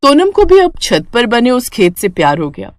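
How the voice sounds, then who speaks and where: A woman's voice: on the high side, big pitch swings, normal speed. A woman reading scripted storybook narration for a cartoon moral tale.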